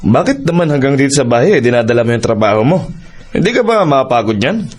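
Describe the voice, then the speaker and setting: Man acting as gentle Filipino father in radio drama. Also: medium pitch, very animated, fast talker, lightly acted. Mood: fatherly concern. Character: gentle, reassuring middle-aged Filipino father.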